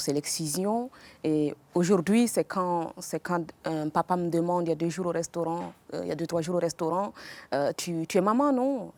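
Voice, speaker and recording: A woman guest on a TV debate calmly recounting a conversation. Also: on the low side.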